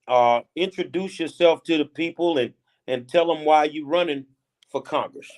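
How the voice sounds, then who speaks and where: A man's voice: on the high side, normal speed. A man in his fifties hosting a talk show, interviewing a guest in a casual, emphatic way with informal grammar. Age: 50s. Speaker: show host.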